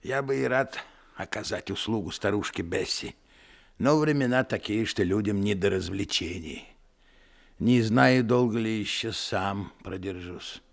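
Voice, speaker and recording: A gravelly, weary showman. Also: on the low side, a little slow. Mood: gruff. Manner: gruff, tired, grudgingly kind. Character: weary middle-aged showman, gruff but kind.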